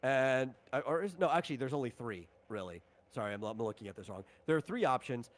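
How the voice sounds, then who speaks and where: A man's voice: mid-range voice, animated, fast. Man explaining tabletop game rules. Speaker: game master.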